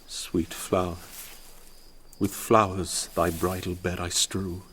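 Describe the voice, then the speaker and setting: An actor with a British accent playing a proud, mournful young noble suitor in a Shakespearean radio drama, in formal, declamatory verse. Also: male voice, low-pitched, level tone, slow, a bit bright, slight background noise. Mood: mournful, tender.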